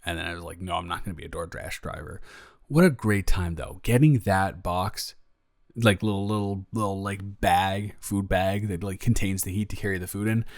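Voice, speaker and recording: A young man playing a caring, flustered roommate in an intimate roleplay audio, rambling and stammering as he gently reassures a friend. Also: deep voice, steady tone, moderate pace, creaky, no room sound, studio quality. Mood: chatty, upbeat. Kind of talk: improvised intimate roleplay audio, one-sided conversation.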